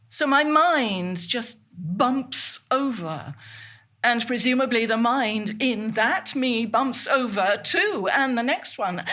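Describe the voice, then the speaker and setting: A woman's voice: fairly high pitch, fairly bright tone, full character voice. An actress playing a frail, confused elderly woman in a theatrical monologue, wistful and often losing her words. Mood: musing, wondering. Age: older.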